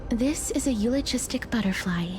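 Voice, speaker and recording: A gentle, solemn young woman from a fantasy video game, played by an actress, with a fairly high, soft and breathy voice. She speaks formally and reflectively in measured phrases over prominent music. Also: animated, natural voice. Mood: hushed, solemn. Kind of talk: video game character acting.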